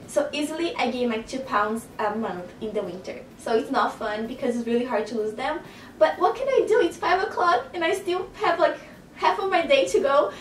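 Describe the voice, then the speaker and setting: A woman's voice: high-pitched, a little hiss or hum. A young woman from Brazil with a Brazilian Portuguese accent, chatting to camera in a casual vlog and laughing often. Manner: chatty, laughs often, stresses words by repeating them. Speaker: vlogger. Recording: roomy, slightly distant camera audio.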